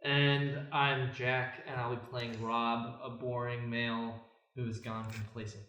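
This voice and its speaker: A man's voice: medium pitch, little pitch movement, a little slow, sharp tone. A man, a guest improv performer, casually introducing himself and his part. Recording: very echoey room, music behind.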